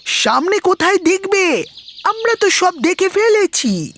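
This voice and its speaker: A woman's voice: very high voice, sing-song, unhurried, fairly bright tone, a little hiss or hum, exaggerated voice. A woman voicing a cunning, ambitious cartoon hawk with a bossy, persuasive manner. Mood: doubtful. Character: cunning, ambitious hawk eager to rule the forest. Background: music.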